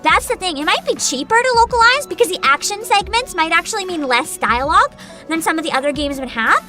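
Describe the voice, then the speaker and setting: A woman hosting a livestream, chatting casually with viewers in an excitable, bubbly, giggly manner. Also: extremely high pitch. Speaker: livestreamer host.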